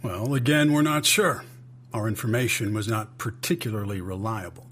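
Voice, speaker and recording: A man's voice: lowish voice, normal speed, fairly bright tone. An actor playing a weary, skeptical male intelligence chief in an audio drama, clipped and sardonic with heavy sighs. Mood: grave. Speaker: senior agency official.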